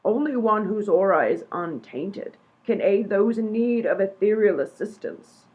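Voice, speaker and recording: A woman's voice: medium pitch, animated, unhurried, breathy edge. A woman playing a pompous, mystical psychic in a comic radio play, delivering theatrical, portentous pronouncements. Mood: grandiose, solemn. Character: grandiose mystical female psychic, solemn and pompous. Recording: dull, lo-fi sound.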